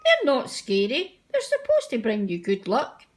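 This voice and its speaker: Lady doing the bossy railway controller guy from a kids storybook, firm and brisk, no nonsense. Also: fairly high pitch, wildly swooping pitch. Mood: brisk, reassuring.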